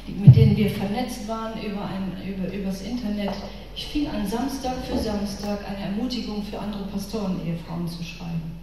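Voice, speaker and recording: A middle-aged woman with a northern German accent giving a chatty, informal faith talk to a women's church gathering, speaking to her listeners directly and personally. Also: mid-range voice. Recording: echoey hall from far off with room noise.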